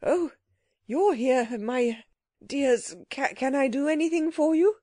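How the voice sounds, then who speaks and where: Woman playing an obstinate, rapt scholarly gentleman. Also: sits high, takes it slowly, dry recording, studio quality, pitched above the performer's own voice. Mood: dazed. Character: obstinate, dreamy learned gentleman, grown-up and scholarly.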